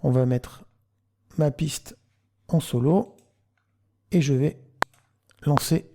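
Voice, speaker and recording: A man narrating a software tutorial, explaining things step by step as the host. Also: fairly high pitch, slow pace, background noise.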